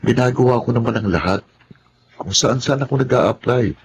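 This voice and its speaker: A man's voice: mid-range voice, moderate pace, a little hiss or hum, lightly acted. A man acting in a Filipino drama as a poor, humble husband who is apologetic and weighed down by guilt. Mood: apologetic, weary.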